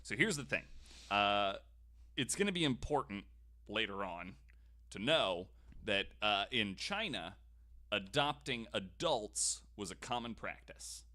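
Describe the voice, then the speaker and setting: A man's voice: lowish voice, expressive, measured pace, pushed and bright. A man hosting a podcast, telling history stories in casual, jokey chat. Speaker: podcast host.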